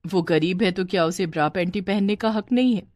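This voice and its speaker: A woman's voice: on the low side, brisk, a little mellow, slight background noise. A woman reading a scripted moral bedtime story as a storyteller.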